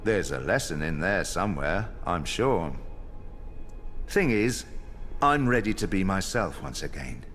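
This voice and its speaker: An actor playing an ancient banished trickster god, a smug and sardonic game villain delivering theatrical monologues, savoring each word. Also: male voice, deep voice, big pitch swings, unhurried, fairly bright tone, soft background music, heavily acted. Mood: brooding, defiant. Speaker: video game villain in story cutscenes.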